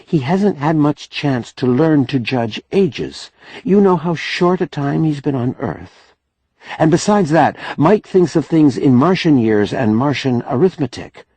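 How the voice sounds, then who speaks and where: A man's voice: fairly high pitch, lively intonation, measured pace, darkish tone, breathy edge, no echo, voice pushed up. A man voicing a kind, frank younger woman in a novel, speaking in an earnest, explanatory way.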